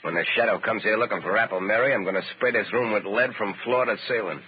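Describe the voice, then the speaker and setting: An actor playing a curt, menacing American gangster boss who barks slangy orders in 1930s radio style. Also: male voice, fairly low pitch, fast, slightly rough. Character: hard-boiled gangster boss, menacing, bossy and impatient. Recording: scratchy, noisy, narrow-band 1930s radio.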